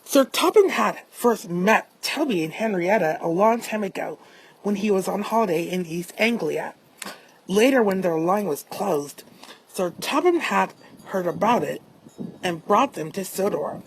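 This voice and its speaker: A woman's voice: mid-range voice, lively intonation, measured pace, a little hiss or hum, low-quality recording. A woman narrating a children's storybook as an amateur reader, now and then stumbling over her words.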